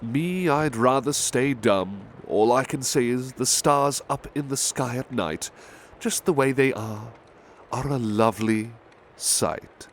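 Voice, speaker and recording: Slow-paced gentle butler played by a man reading poetry. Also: mid-range voice, natural voice. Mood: measured, wistful. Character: big-hearted male butler reading poems to a sweetheart. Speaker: ASMR roleplay performer. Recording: faint ambient background noise.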